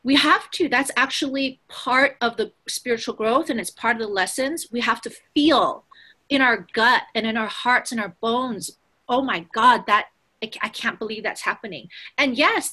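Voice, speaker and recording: A woman with a fairly high, bright voice speaks in an earnest, conversational way. She sounds like a spiritual teacher talking frankly, leaning on her points and swearing casually. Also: moderate pace.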